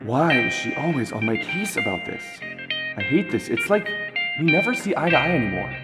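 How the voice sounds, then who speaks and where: A man's voice: medium pitch, sing-song, a little fast, lightly acted. A man playing a husband's anxious private thoughts, fretful and repetitive, in a radio play. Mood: frustrated. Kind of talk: radio drama acting. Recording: reverberant, clear music underneath.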